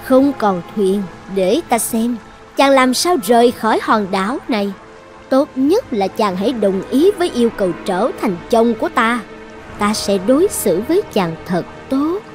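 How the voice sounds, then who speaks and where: Cartoon dragon princess , played by a woman: high voice, lovesick, controlling, coaxing yet threatening, music underneath. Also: expressive, normal speed, lightly acted. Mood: defiant, possessive. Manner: commanding, coaxing, menacing. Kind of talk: dubbed cartoon fairy-tale acting.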